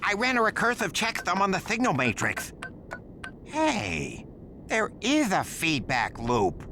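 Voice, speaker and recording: A man's voice: sits high, huge pitch swings, a little slow, noisy background, heavily acted. A man playing a prickly, pedantic nerd technician with a pompous, precise, jargon-heavy delivery. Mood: indignant, pedantic.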